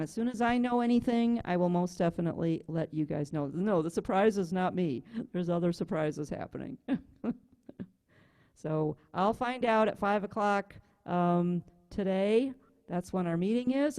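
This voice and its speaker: A woman's voice: mid-range voice, mellow. A woman with a Wisconsin accent hosting an online music class over a video call, chatting casually in a folksy, informal way. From Wisconsin, USA. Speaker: music class teacher and host.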